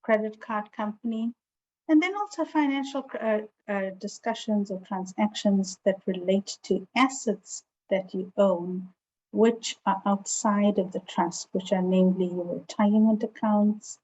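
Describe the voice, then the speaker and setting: A woman's voice: mid-range voice, a little slow, mellow. A woman with a South African accent giving an informal, explanatory online seminar talk in a conversational way. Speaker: presenter, estate planning attorney. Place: online video call with virtual background. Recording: dull lo-fi video call sound.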